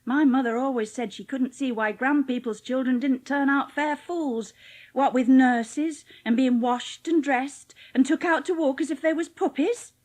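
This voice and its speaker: A woman's voice: high-pitched, a little slow, darkish tone. A woman playing a cheerful, plain-spoken young housemaid with a Yorkshire accent in a dramatised audiobook. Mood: brisk, chiding. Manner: chatty, blunt, homely dialect speech.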